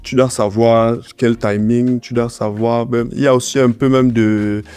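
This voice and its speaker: A man's voice: mid-range voice, flat delivery, unhurried, darkish tone, clear, resonant tone, faint music behind. A man with a slight head cold, talking conversationally as an interview guest and repeating points for emphasis. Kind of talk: conversational interview answers, giving advice.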